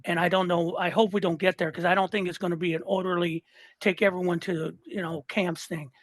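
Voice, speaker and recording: A man's voice: high-pitched, little pitch movement, brisk, no room sound, studio quality. Fired-up man in his sixties. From Southern California. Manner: fired up, repeats and restarts words. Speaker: listener guest. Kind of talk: casual political chat.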